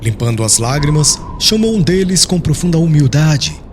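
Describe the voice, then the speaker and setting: A man's voice: fairly high pitch, very animated, moderate pace. Solemn male narrator with sweeping intonation. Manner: solemn, formal. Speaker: audiobook narrator. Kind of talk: scripted dramatic literary narration. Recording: faint background noise.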